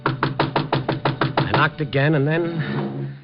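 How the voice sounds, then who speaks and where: An actor playing a streetwise, wry newspaper reporter in a 1950s radio mystery, talking in a hard-boiled, wisecracking way. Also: male voice, on the high side, flat delivery, very slow. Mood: terse, brooding. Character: streetwise, persistent male newspaper reporter narrating his night beat. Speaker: actor and narrator in a radio drama. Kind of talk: 1950s radio mystery acting with first-person narration. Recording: dull vintage 1950s radio recording, music behind.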